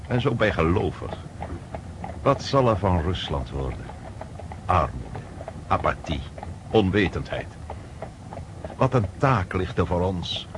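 A pompous nobleman in a radio play, lecturing from on high with a low voice that swoops up and down. He talks down to his listener, full of lofty ideals and contempt for ordinary life. Faint noise sits under the voice. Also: heavily acted. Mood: scornful. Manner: lofty, preachy and condescending. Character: pompous male count, freemason, lecturing. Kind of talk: radio drama acting.

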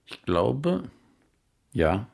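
An actor playing a terse, matter-of-fact prison commandant in a radio crime drama. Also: male voice, medium pitch, sing-song, very slow, gravelly, a little mellow, full character voice. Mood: curt, offhand. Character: male prison commandant, curt, offhand, dismissive of prisoners.

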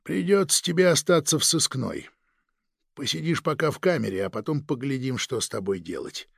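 A man's voice: medium pitch, animated, normal speed, creaky, slightly rough, no echo, studio quality. A man voicing a domineering, suspicious police detective chief who interrogates and gives orders in a dramatic audiobook. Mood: firm, cold. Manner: commanding, interrogating, barking orders.

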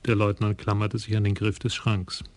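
A man narrating a German crime radio play in a calm, measured way. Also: lowish voice.

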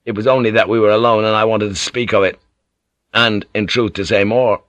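A man's voice: on the low side, little pitch movement, brisk, fairly bright tone, no echo, lightly acted. A man playing an earnest, gallant man in an audiobook, with formal, archaic phrasing.

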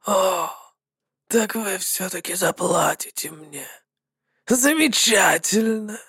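A woman voicing a sly, scheming male warlock mercenary who talks smoothly and mockingly. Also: medium pitch, lively intonation, takes it slowly, a bit bright, dry recording, studio quality, full character voice.

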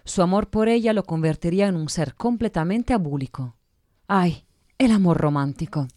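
A woman's voice: on the low side, animated, normal speed, warm tone, dry recording, studio quality, close to an everyday voice. A woman playing a blunt, sardonic commentator in an audio drama, speaking emphatically and critically.

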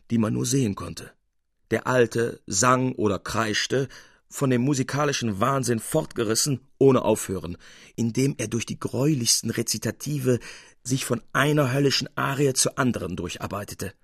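A man reading a literary tale as narrator, in an expressive audiobook style. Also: mid-range voice, no room sound, studio quality.

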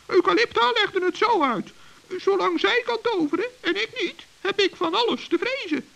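A man playing a small, earnest, trusting forest gnome with a squeaky, creaky voice in a children's radio play. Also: animated, moderate pace, sharp tone, heavily acted. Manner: earnest, naive, polite.

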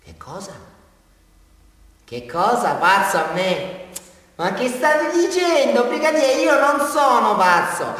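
A man's voice: sits high, a bit bright. A man acting an unhinged small-town murderer with a southern Italian accent, confessing to a police brigadier in a rambling, insistent, taunting way in a theatrical radio drama. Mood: indignant. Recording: echoey hall from far off, faint music.

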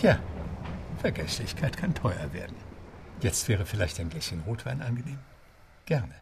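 An actor playing a genteel, wry butler who narrates a radio play and makes asides to the listener. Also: male voice, on the low side, sing-song, normal speed, gravelly, a little hiss or hum. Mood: wry, courteous. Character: James, a genteel English butler telling the tale.

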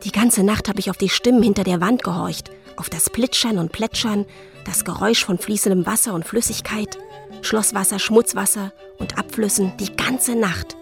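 A woman's voice: mid-range voice, moderate pace, vocal fry, lightly acted. Woman acting a broody queen's confidante, radio play monologue, medium voice, intimate, music behind. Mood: brooding, disgusted. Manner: intimate, reflective narration.

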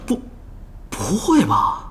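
A man's voice: slow pace, slight background noise, natural voice. A man playing an inquisitive, incredulous young pro gamer in a Mandarin audio drama. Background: background music.

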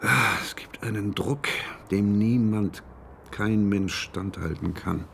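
A man's voice: fairly low pitch, slow pace, creaky, fairly bright tone, slight background noise, heavily acted. A man playing a frightened, brooding astronomer with a sarcastic edge in a radio drama, speaking in an agitated, questioning way. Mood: uneasy questioning.

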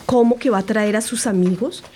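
A woman acts a business-minded rental agent in an audio drama. She is chatty and glib, pitching like a practised seller in a medium-pitched voice. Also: brisk, dark tone, breathy edge, close to an everyday voice. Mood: stern, surprised. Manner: salesy, glib.

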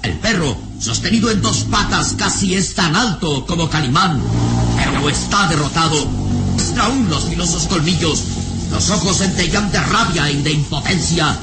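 An actor as the narrator of an adventure radio serial, delivering scripted drama with emphatic suspense. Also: male voice, fairly high pitch, sing-song, normal speed. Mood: suspenseful. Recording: old radio serial, loud music bed.

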